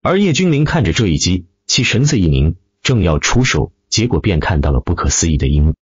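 A man reads dramatic fiction with theatrical emphasis. His low voice has a husky grain and swings widely in pitch as he drives each sentence. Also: very animated, fairly quick, no echo, dull audio. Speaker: audiobook narrator. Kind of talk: dramatic scripted web-novel narration.

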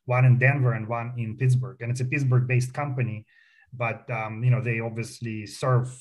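A middle-aged man with a Russian accent talks in a loose, chatty way, full of ums and restarts, as a guest in a radio studio. His pitch sits in an ordinary middle range. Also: level tone, normal speed, a little mellow. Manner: chatty, many fillers and restarts, proud. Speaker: company founder guest. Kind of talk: casual radio interview.

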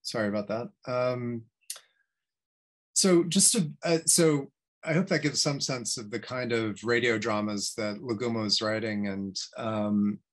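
A middle-aged man presenting research in an informal academic talk, halting and thinking aloud as he goes. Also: mid-range voice. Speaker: history professor presenting research. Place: indoors on a video call.

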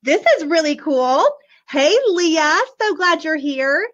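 A bubbly woman in her forties talks in a hoarse, high voice while chatting with her live viewers about a children's book. The webcam audio is dull and lo-fi. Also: slow, a bit bright. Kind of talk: casual live book show-and-tell. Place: small room indoors, decorated backdrop. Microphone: webcam at desk.

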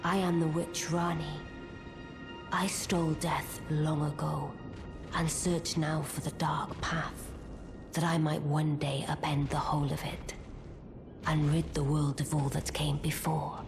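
Haughty witch from a fantasy game, deep slow voice, old-timey speech, music playing loud. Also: flat delivery. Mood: aloof, composed. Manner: archaic, stately, measured. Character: aloof, imperious witch noblewoman. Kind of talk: scripted video game character dialogue.

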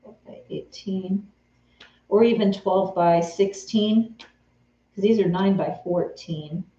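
A woman's voice: mid-range voice, takes it slowly, dark tone. A woman from Texas in her forties, chatting casually with her live viewers by name while she sews at home. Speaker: craft vlogger answering live chat. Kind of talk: casual live-stream chat while sewing. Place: home sewing room, indoors. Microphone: camera on a tripod, a few feet away. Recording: roomy, slightly dull home-camera sound.

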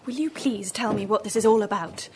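An actress playing a bewildered young woman in a radio drama, asking crisp, indignant questions. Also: mid-range voice, a little fast, slight background noise, lightly acted. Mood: puzzled, defiant.

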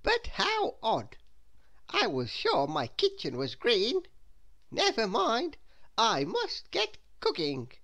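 Scatterbrained storybook miss, acted by a woman: light high pitch, slow, breezy, cheerfully dithering. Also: wildly swooping pitch, fairly bright tone, exaggerated voice. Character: cheerful, dreamy, scatterbrained little girlish miss.

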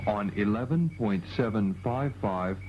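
Male radio announcer, slightly low voice, formal read on lo-fi shortwave. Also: unhurried. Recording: dull shortwave radio, faint static.

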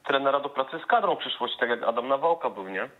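A man's voice: medium pitch, lively intonation, fast talker. Man host on a tinny phone-like line. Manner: asks questions, tag "nie?" endings. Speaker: studio host asking questions. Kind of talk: studio football discussion.

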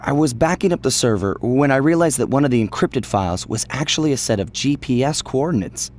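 A man playing a headstrong, earnest young trainee secret agent in an animated video game. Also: mid-range voice, a little fast, slight background noise, close to an everyday voice. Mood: earnest, defiant.

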